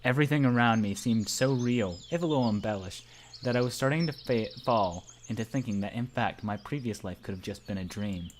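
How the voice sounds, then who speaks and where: A man's voice: medium pitch, a little fast, a little hiss or hum. A man reading a visual novel aloud among friends, narrating informally and tripping over the script now and then. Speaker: narrator of a let's play. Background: faint game music.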